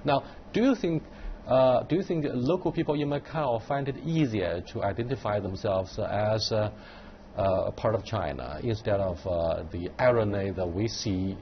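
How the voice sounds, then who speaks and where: A man hosting a studio panel, posing long questions with measured pacing and frequent hesitations. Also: fairly low pitch, muffled recording, slight background noise. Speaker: host.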